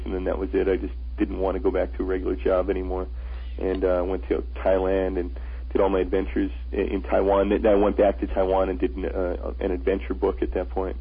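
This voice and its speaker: Deep gravelly man on a phone line. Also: completely flat delivery, fast. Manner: earnest storyteller, frequent restarts. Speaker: guest, author and traveler. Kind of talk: radio interview. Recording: tinny phone-line radio audio.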